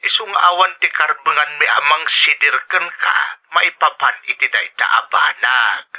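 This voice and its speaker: A man's voice: on the high side, moderate pace. A man playing a protective, understanding older father-in-law over a phone filter in an audio drama. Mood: supportive, protective. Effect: telephone filter. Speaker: voice actor. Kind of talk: Ilocano audio drama acting. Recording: muffled lo-fi phone line.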